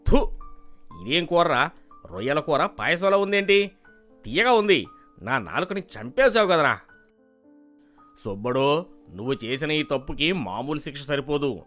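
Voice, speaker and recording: A man's voice: a little high, very animated, a little slow, pauses often, a bit bright, faint music behind. A man playing a gluttonous, short-tempered landlord with a pompous, commanding manner in a storybook cartoon. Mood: angry. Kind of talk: animated storybook dialogue.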